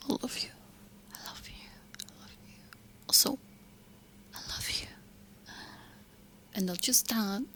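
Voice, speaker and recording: A woman playing a sweet, clingy, lovestruck young witch in a soft, intimate ASMR roleplay, speaking in hesitant, doting phrases. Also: medium pitch, slight background noise, lightly acted, poor audio. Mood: overjoyed, adoring.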